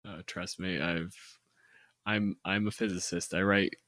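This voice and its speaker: Man, deep voice, casual planning chat, informal, sweary, dry close sound. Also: steady tone, unhurried, a bit bright, no echo. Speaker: podcast co-host, physicist.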